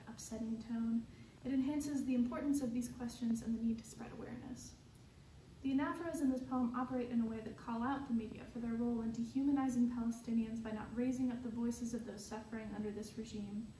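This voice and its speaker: A woman's voice: fairly high pitch, dark tone. A young adult woman giving a scripted explainer as a student presenter. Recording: roomy, noisy recording, distant mic.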